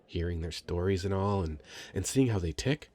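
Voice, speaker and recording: A man's voice: low voice, lively intonation, moderate pace, darkish tone. A man playing a shy, sweet, nervous young nerd in an intimate ASMR roleplay, stammering and rambling self-consciously. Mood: shy, earnest. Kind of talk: scripted ASMR roleplay, intimate conversational acting.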